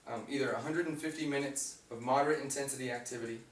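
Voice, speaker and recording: A young man with a raspy voice giving an informative class speech, reading from notes with fillers and restarts. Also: mid-range voice, steady tone, fast. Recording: echoey room, mic far off, faint hum.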